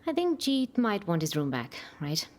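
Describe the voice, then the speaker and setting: A woman's voice: fairly low pitch, wildly swooping pitch, normal speed, darkish tone. A woman playing a breezy, self-assured, gushing visiting aunt in a radio soap.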